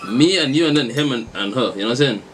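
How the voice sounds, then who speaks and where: A man's voice: mid-range voice, animated, quick pace. A nineteen-year-old man chatting with strangers over video, slangy and stammering, repeating words playfully. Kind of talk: casual video chat banter. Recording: noisy video chat audio.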